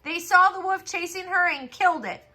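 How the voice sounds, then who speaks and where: A woman storyteller narrating a children's fairy tale for a puppet show in a deliberate, expressive way. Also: very high voice.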